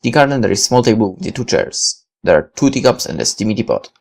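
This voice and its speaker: A man's voice: on the low side, big pitch swings, fast talker, creaky. A man reading first-person visual novel narration aloud in a dramatic style.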